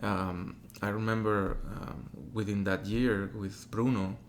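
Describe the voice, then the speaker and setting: A man's voice: fairly low pitch, flat delivery, takes it slowly, slight background noise. A man with a Mexican Spanish accent, a native Spanish speaker and opera tenor, talking reflectively as a guest in a casual interview. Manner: reflective, acts out quoted voices.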